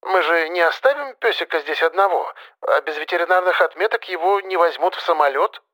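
Guy doing an old worried mom, high thin bright voice, nags and lectures her grown son, storybook style. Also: lively intonation, fairly quick. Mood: doubtful. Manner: plaintive, lecturing, sighing.

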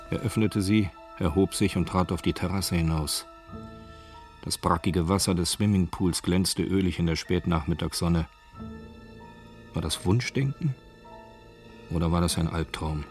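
Low-voiced crime drama narrator over music. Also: male voice, a little mellow. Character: male narrator of a hard-boiled Hollywood crime story. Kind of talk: scripted radio drama narration. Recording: music behind the voice. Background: background music.

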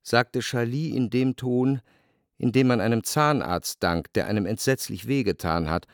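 An actor playing a wry, observant literary narrator in a radio drama, speaking in measured, ironic sentences. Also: male voice, medium pitch, dry recording, clean studio sound, natural voice. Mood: wry, detached.

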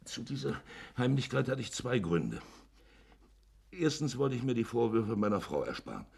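An actor in a German radio play playing a shifty village innkeeper who justifies himself in a hesitant, wordy way. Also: male voice, medium pitch, sing-song, moderate pace, vocal fry, a little mellow, slightly rough, heavily acted. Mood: evasive, ingratiating. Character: shifty, smooth-talking village innkeeper hiding a crime.

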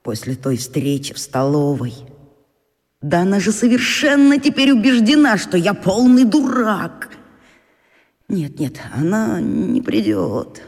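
A man's voice: high voice, big pitch swings, measured pace, full character voice. A man playing a lovesick, despairing young boy in an agitated inner monologue for a children's radio play. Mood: dejected, anxious. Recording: long reverb, as inner thoughts.

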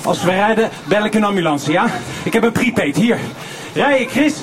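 An actor playing an urgent police detective in a radio crime drama, barking short orders to escape. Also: male voice, high-pitched, expressive, normal speed, music in the background. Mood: urgent, commanding. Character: commanding, urgent male police detective, pushing to flee rising flood. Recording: noisy live radio play with effects.

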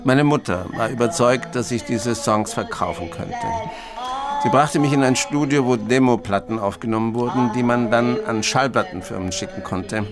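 Creaky-voiced reclusive old grandfather, played by a man. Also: mid-range voice, moderate pace, music in the background, natural voice. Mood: weary recollection. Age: 60s or older. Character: reclusive old grandfather, awkward, brooding, haunted by memories. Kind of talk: German radio drama acting, dialogue and inner monologue.

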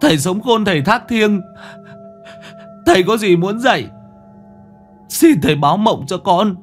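A man's voice: low voice, very animated, normal speed, warm tone, soft background music, pitched below the performer's own voice. A man voicing a grieving, dutiful young son who calls out in earnest sobbing cries.

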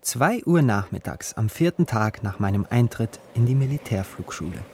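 A man's voice: medium pitch, lively intonation, normal speed, a little mellow, slight background noise, close to an everyday voice. An actor playing a poor young man who narrates his own story in a radio drama, introspective and yearning. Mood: matter-of-fact. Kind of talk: literary first-person narration in radio drama.

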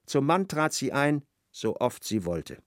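A man narrating a literary radio play in measured, expressive storytelling. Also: mid-range voice, dry recording, clean studio sound.

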